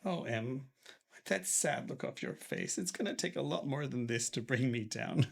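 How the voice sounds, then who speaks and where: An actor playing a stern aging father who heads a family company, commanding in a husky voice. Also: mid-range voice, lively intonation, normal speed. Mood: tender. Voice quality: gravelly, husky. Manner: commanding, outraged then tender. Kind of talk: melodrama acting.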